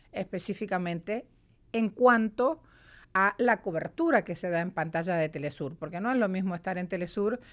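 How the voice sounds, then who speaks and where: A woman interviewing a colleague, asking questions in a lively, teasing way. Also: medium pitch.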